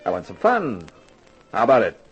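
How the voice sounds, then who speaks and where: An actor playing a tough, wry, slangy private eye in a 1950s radio detective drama. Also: male voice, fairly low pitch, wildly swooping pitch, normal speed, breathy edge, muffled recording, exaggerated voice. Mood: wry, hardboiled. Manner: slangy, hardboiled. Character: tough wry male private eye, narrating his case. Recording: old radio recording with music behind.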